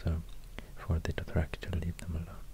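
A man with a Swedish accent, a native Swedish speaker, speaking soft ASMR narration in a halting, musing, breathy near-whisper. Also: low-pitched, completely flat delivery. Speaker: ASMR video creator. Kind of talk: soft-spoken ASMR narration while drawing. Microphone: close binaural microphone. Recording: audible noise, soft clicks.

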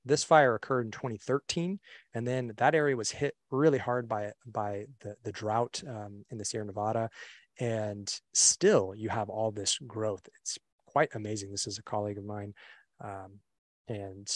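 A young man with a fairly low voice walks listeners through a slideshow in a loose, conversational way, leaning on fillers and restarting his sentences. He is recorded dry and close through a computer mic on a video call. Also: no echo. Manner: relaxed, lots of fillers and restarts. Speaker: webinar presenter. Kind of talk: casual slideshow presentation.

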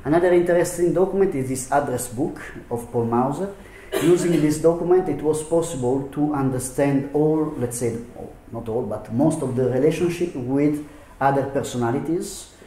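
A man's voice: on the high side, normal speed, dark tone, a little hiss or hum. A man presenting archive documents at a symposium, hesitant, with frequent fillers and non-native phrasing. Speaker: historian presenter. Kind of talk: conference presentation. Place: lecture hall. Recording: echoey hall.

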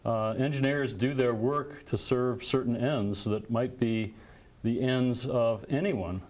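An older man, a philosophy professor, explaining his views in a measured way on a studio panel. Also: medium pitch, fairly even intonation, a little mellow. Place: television studio set. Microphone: lapel mic.

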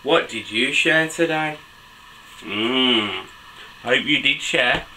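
A man in his thirties reading a picture book aloud to children in an expressive, sing-song, enthusiastic way. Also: a little high, measured pace, background noise. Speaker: dad reading children's books for a video channel. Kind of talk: animated storybook read-aloud for children. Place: small bedroom with a bed and soft toys.

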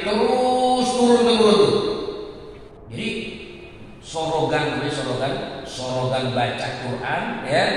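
A man's voice: medium pitch, a bit bright. A middle-aged man, giving an emphatic, didactic Islamic lesson with repetition and rhetorical questions. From Indonesia, Betawi region of Jakarta. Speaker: Islamic teacher. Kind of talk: mosque sermon, religious lesson. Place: large stone-walled mosque indoors. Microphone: handheld microphone through speakers, recorded by far camera. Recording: very echoey hall, distant, faint noise.

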